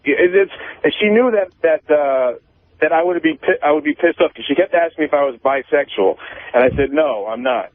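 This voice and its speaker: A man calling in to a radio show, chatting in a halting, repetitive, defensive way with plenty of swearing. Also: fairly high pitch, animated, no echo. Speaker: show caller. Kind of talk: casual confessional phone-in chat. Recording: tinny band-limited phone line.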